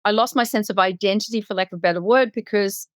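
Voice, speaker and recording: A woman's voice: mid-range voice, fast talker, dry recording, studio quality. A middle-aged woman from Singapore telling her personal story as an interview guest, articulate and reflective. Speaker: podcast guest.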